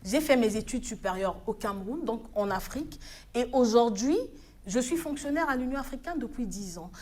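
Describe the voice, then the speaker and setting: A woman's voice: a little high, animated, normal speed, darkish tone. Earnest Congolese woman on a TV news set. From Republic of the Congo. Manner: earnest, exhorting, with hesitant restarts. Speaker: studio guest, African Union official. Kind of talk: TV news studio interview. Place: TV studio.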